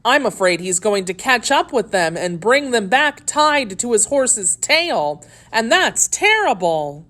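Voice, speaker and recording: An excitable young boy storyteller, as a woman reader puts him on. He announces the action earnestly and dramatically, with a lifted, swinging pitch. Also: slow, hardly any pauses, full character voice. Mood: excited, alarmed.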